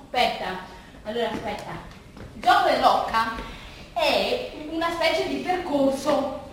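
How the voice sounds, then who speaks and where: An actress playing an agitated, rambling woman in a bittersweet theatre monologue, explaining in a halting, repetitive, animated way to her audience. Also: sits high. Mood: flustered, playful. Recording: roomy, distant stage recording, faint noise.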